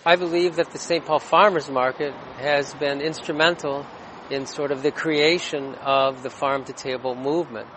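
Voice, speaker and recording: A man's voice: on the high side, fairly even intonation, normal speed. A man speaking in interview remarks as a representative of a market. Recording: clear outdoor street noise.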